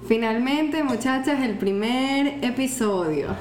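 Calm Venezuelan woman in her twenties. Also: fairly high pitch, slow pace, soft background music. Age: 20s. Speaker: podcast co-host. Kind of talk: casual chat. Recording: echoey room with faint noise.

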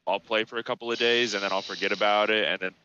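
A man's voice: fairly low pitch, fairly even intonation, quick pace, bright, forceful tone, a little hiss or hum. A young man co-hosting a podcast over a voice call, telling rambling stories with lots of swearing and restarts. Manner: rambling storyteller, swears freely, restarts often. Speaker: podcast co-host. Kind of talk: casual chat. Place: at work. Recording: lo-fi call audio.

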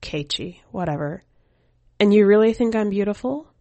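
A woman's voice: mid-range voice, animated, normal speed, warm tone, no room sound, lightly acted. A woman voicing a jaded, prickly female skeleton spirit in a short story, brusque and dismissive. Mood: irritated, dismissive. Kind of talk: audiobook-style short story character voice.

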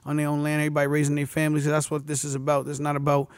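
A young man in his twenties chats loosely as a rapper guest, dropping street slang and filler phrases as he goes. His voice sits a touch higher than most men's. The sound is close and dry. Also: monotone, very fast, smooth, no echo. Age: 20s. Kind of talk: casual interview chat.

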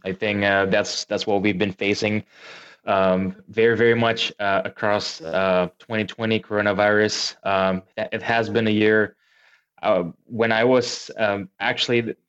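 Muffled low man from Indonesia, halting. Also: little pitch movement, a bit bright, smooth. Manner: hesitant, many fillers. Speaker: guest, engineer. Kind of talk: casual panel discussion.

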